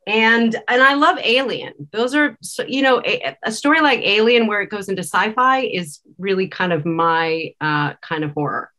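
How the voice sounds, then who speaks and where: A woman's voice: mid-range voice, moderate pace, few pauses, pushed and bright. A middle-aged woman talking casually as a film director guest on a video-call panel. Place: indoor room, on a video call.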